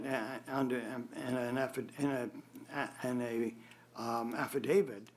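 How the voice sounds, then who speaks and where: Halting older man giving testimony. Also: medium pitch. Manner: halting, hesitant, with frequent long pauses and throat clearing. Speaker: appellant testifying at a public hearing. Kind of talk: formal testimony, unscripted rebuttal. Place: government board meeting room, indoors. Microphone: gooseneck table microphone.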